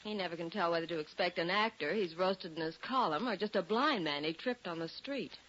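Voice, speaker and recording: A woman's voice: lowish voice, fairly quick, fairly bright tone, natural voice. A woman playing a sardonic office receptionist with dry, arch delivery in 1940s radio acting. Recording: dull old radio broadcast with hiss.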